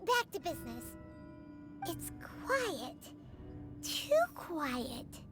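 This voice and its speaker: A woman voicing a tiny, excitable, childlike fairy girl companion in a video game, with a squeaky voice. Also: very slow, exaggerated voice. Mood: wary. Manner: excitable, exclamatory, speaks of self in third person. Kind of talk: animated video game voice acting. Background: background music.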